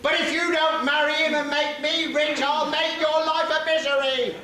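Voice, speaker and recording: A man's voice: very high voice, steady tone, normal speed, sharp tone. A man playing a bossy, greedy pantomime dame mother, coaxing and scolding in exaggerated stage style. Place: theatre or hall stage, live performance. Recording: very echoey hall, faint noise.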